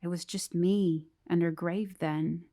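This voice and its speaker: A woman acting a haunted, troubled narrator in a scripted first-person ghost story, slow and brooding. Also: on the low side, steady tone, dark tone.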